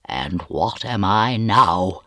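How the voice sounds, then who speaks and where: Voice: low-pitched, animated, slow, pushed and bright, no room sound, clean studio sound, pitched below the performer's own voice, full character voice. A reader voicing a bitter, gloomy, vengeful old male physician with grave, archaic, rhetorical speech in a storybook reading.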